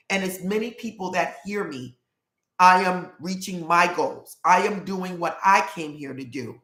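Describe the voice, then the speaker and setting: A woman's voice: medium pitch, a bit bright, roomy sound. A middle-aged woman with a nasal voice hosts a live stream, reading chat comments aloud in an upbeat way and often repeating herself. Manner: upbeat, repeats "love it" and "okay".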